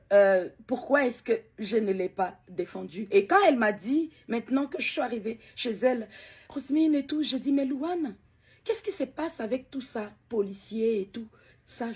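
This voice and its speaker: A middle-aged woman, a bereaved mother, giving an emotional personal account in a hesitant way, with many pauses and repeated words. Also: a little high, a little mellow, slightly rough.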